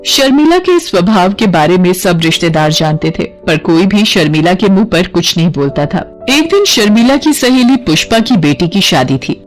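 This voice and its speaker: A woman's voice: lowish voice, sing-song, normal speed, hardly any pauses, faint music behind. A woman reading scripted narration for a cartoon story.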